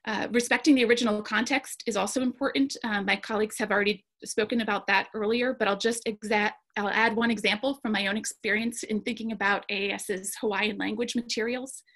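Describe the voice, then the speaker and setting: A woman's voice: medium pitch, steady tone, a little fast, a bit bright. Earnest woman presenting at a conference. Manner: earnest, with frequent fillers and restarts. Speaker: conference panelist. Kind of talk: presenting slides at an online conference.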